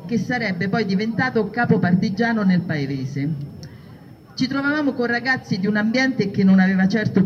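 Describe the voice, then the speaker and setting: A woman giving a dramatic first-person reading of a written testimony, telling it as a story. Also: medium pitch. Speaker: reader of partisan testimonies. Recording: distant, dull muffled sound.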